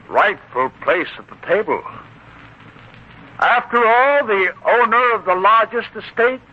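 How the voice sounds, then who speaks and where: Courteous father of the bride, higher-pitched and husky, formal 1940s radio delivery, muffled broadcast. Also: full character voice. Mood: eager, insistent. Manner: courteous, ceremonious, deliberate. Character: gracious father of the bride hosting a feast. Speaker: radio drama actor.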